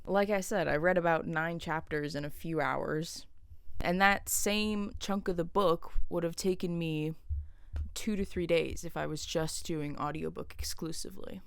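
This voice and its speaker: A woman's voice: lowish voice, clean tone, dry recording. A young woman vlogging casually to camera, chatty, with dry humor and frequent laughs.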